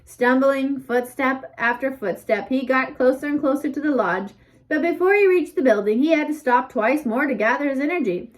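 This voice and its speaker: A woman reading a scripted story aloud as an audiobook narrator, with expressive emphasis and dramatic pauses. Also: high voice. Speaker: audiobook reader.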